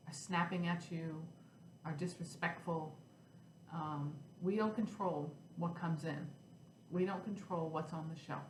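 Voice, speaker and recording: A middle-aged woman with a stuffy head cold, chatting casually to her camera as a vlog host and then ranting in frustration. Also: on the low side. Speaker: flosstube host. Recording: echoey room with faint noise.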